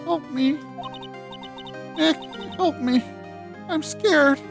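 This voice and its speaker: A woman's voice: high voice, very slow, creaky, music in the background, voice pushed up, heavily acted. A woman voicing a distraught, guilt-ridden teenage girl, a fragile spirit medium in a video game, earnest and emotional. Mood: desperate, pleading.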